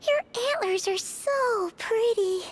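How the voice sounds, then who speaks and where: Tiny, squeaky, excitable game companion. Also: female voice, slow, noisy background. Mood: amazed, concerned. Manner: wide-eyed, cute, exclamatory. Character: tiny childlike companion, curious, excitable, caring. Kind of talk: scripted video game cutscene acting.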